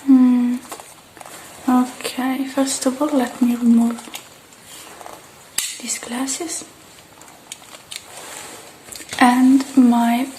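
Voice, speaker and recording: A woman playing a gentle, polite repair technician in a soft-spoken ASMR roleplay, murmuring and sometimes whispering in an unhurried way. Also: high voice, little pitch movement, a little hiss or hum, poor audio. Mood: calm, focused. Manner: soft, unhurried, murmuring to herself while working.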